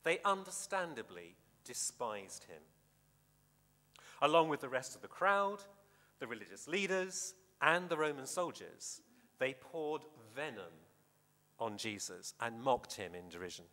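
A man preaching a sermon in a measured, deliberate way with dramatic emphasis, his voice echoing in a hall. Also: medium pitch, very animated, sharp tone. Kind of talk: sermon with scripture reading. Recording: reverberant hall, long echo.

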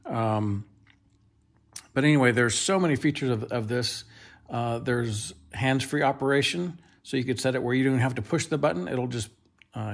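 A man reviews a product in an off-the-cuff, casual way. His voice has a gravelly fry to it. He talks plainly and haltingly, as if working through features at home in his kitchen. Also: medium pitch. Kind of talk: casual unscripted product demonstration.

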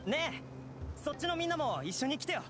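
High-pitched, earnest young brother. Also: male voice, very animated, brisk, over music. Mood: friendly. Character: earnest young frail brother wanting independence. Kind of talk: Japanese anime game voice acting.

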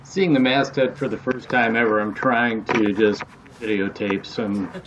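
A man, casually narrating a sailing vlog in a relaxed, conversational way. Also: lowish voice, measured pace, highs cut off, background noise.

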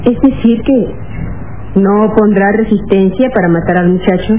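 A woman's voice: medium pitch, normal speed, a little hiss or hum, lightly acted. An actress playing a cold-blooded female assassin in a radio serial, commanding and menacing. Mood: suspicious. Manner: cool, commanding, menacing, crisp statements. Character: Marilyn, beautiful, cold-blooded female assassin holding captives. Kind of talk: Spanish-language radio serial acting. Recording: tinny old radio serial recording.

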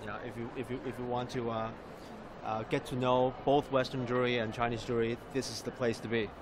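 A man in his thirties presenting a casual live stream, with halting phrasing and repeated words. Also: mid-range voice, flat delivery. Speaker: live stream reporter and host. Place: large indoor exhibition hall. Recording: clear noise of busy expo hall. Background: busy trade fair ambience.

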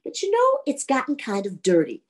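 A woman storyteller speaks as a young folk-tale hero. He is cocky and unflappable, teasing with breezy nonchalance and a very lively, varied pitch. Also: fairly quick. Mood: mocking, breezy.

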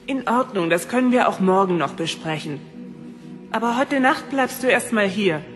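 A woman's voice: medium pitch, lively intonation, moderate pace, soft background music, close to an everyday voice. A woman playing a firm, motherly and bossy figure in a fantasy audio drama, decisive and commanding.

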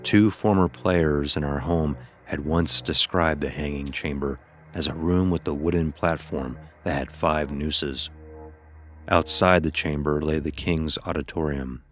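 A man playing a blind son who narrates his dark ordeal in a solemn, literary way, as horror drama. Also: a bass voice, fairly even intonation, unhurried. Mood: somber. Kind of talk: scripted first-person horror narration. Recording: voice over background music.

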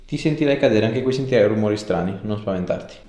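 A man in his thirties with a low voice, recorded in a very echoey small room with faint noise. He gives short, informal instructions and reassurance as a chiropractor at work. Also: quick pace, warm tone. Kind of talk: instructing a patient during a hands-on session. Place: small treatment room indoors.

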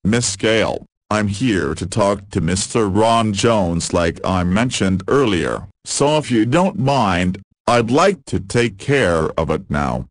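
Loud, brusque policeman. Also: on the low side, lively intonation, slow pace, hardly any pauses, dry recording. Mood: impatient. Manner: formal, forceful. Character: brusque, insistent male police officer named Lee. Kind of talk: visual novel voice acting.

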